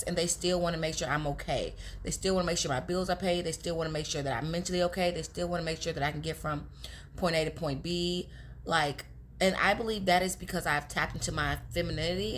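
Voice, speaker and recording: Raspy-voiced young woman vlogger. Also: deep voice, a bit bright, a little hiss or hum. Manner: chatty, confiding, addresses viewers as girls. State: hoarse from talking all day. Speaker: relationship advice vlogger. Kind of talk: casual advice talk to camera.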